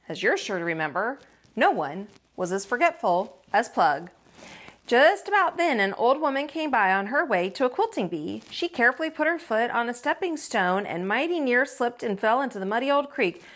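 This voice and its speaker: A woman reading a children's story aloud as a teacher, with folksy, expressive delivery and dramatic emphasis. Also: fairly high pitch, normal speed. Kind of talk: animated read-aloud storytelling.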